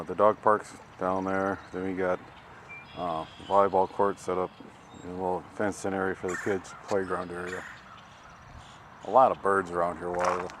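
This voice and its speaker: Casting: folksy American male vlogger, fairly low voice, unhurried rambling chat, light outdoor noise behind. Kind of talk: casual vlog narration. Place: outdoors at a campground. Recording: outdoor camcorder with ambient vehicle noise.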